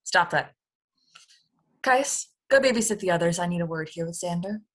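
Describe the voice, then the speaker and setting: Alien commander played by a woman: husky voice, businesslike, short on patience, scheming, script read-through. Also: fairly low pitch, expressive, normal speed, a bit bright. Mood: urgent, bossy. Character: exasperated scheming female alien leader studying captured humans.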